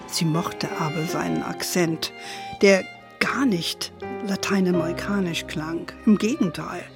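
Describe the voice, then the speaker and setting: Unhurried female storyteller over music. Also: on the low side, fairly even intonation, vocal fry. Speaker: narrator. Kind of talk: storytelling narration for a children's radio play. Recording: music under the voice.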